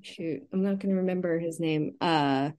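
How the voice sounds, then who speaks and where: A woman talking casually as a novelist being interviewed, haltingly thinking aloud and often restarting her sentences. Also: fairly low pitch, warm tone.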